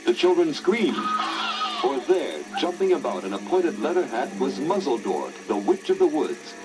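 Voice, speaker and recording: A man narrating a children's story in a dramatic, expressive, theatrical style. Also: a little high, normal speed, low-quality recording. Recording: old story record, orchestral music behind.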